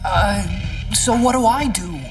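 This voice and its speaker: A man's voice: high voice, measured pace, far from the mic, music in the background. An actor playing a naive, anxious young male engineer with earnest delivery in a video game drama. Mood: earnest, explaining.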